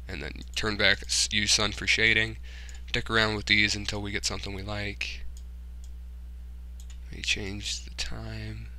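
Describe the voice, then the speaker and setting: Casual male tutorial host, low-pitched with a very crisp, forward edge, informal and muttering through each step. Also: sharp tone. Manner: informal, muttering to himself while working. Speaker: tutorial host, hobbyist game developer. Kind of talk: casual screen-recorded software tutorial, thinking aloud.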